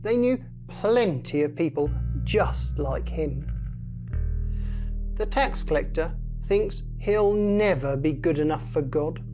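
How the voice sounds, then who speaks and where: A woman reading a children's story in a measured storytelling manner. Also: low-pitched, animated, mellow, soft background music. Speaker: story reader. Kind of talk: scripted children's story narration.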